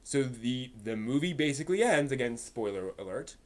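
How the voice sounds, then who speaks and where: A man with a slightly high voice hosting a casual anime review, explaining in a halting way with repeated words and emphatic asides. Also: expressive. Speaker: host reviewing anime on a live stream.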